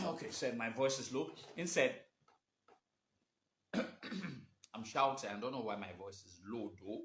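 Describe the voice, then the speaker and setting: A man talks off the cuff on a live stream, picking his way through fillers and false starts. His voice comes through bright and forward. The room around him rings with echo. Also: medium pitch, takes it slowly, pauses often, a little hiss or hum. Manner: rambling and hesitant, many fillers and restarts. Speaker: host of a live video show on history. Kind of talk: unscripted live-stream talk. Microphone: phone or webcam during a live stream. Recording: echoey room.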